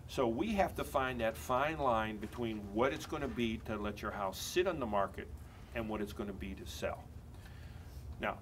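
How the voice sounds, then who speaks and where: An older man in his sixties giving a confident real estate listing pitch in an office conference room. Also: a little hiss or hum. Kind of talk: mock listing presentation.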